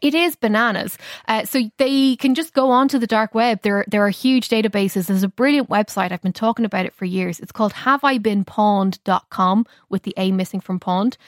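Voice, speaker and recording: A woman's voice: mid-range voice, moderate pace, hardly any pauses, dry recording, studio quality. A woman with an Irish accent speaking as a technology correspondent, explaining things in an informal, conversational radio style.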